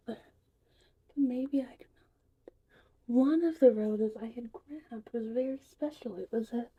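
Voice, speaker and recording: A woman playing a gentle, whimsical witch in an ASMR roleplay, speaking in a soft, breathy, soothing whisper. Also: a little high, poor audio. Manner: slow, soothing, unhurried. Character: gentle, whimsical female witch teaching potion making. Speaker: ASMR performer.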